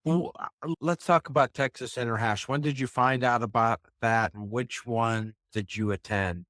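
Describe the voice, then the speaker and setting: A man's voice: mid-range voice, normal speed, fairly bright tone, no room sound. Male podcast host, dry sound. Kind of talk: casual interview.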